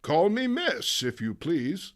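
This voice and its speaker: A man's voice: fairly high pitch, sing-song, slow pace, pitched above the performer's own voice. A man voicing an assertive, formal woman activist with an emphatic delivery, in a comic book reading.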